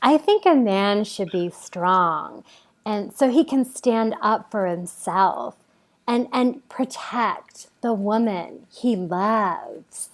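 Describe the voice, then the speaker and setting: A woman voicing a smitten, forward teenage girl in a comic table read, with dreamy, drawn-out, hesitant phrasing. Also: mid-range voice, expressive. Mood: smitten, coy.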